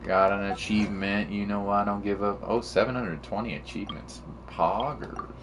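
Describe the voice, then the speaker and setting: A man's voice: on the low side, fairly even intonation, moderate pace, over music. A man streaming a game, chatting casually in a rambling, teasing, slangy way. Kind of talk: casual chat while playing.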